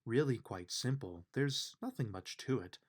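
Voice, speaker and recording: A man reading a rhyming children's bedtime book aloud with measured, emphatic storytelling delivery. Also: mid-range voice, animated, slightly rough. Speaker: storybook reader.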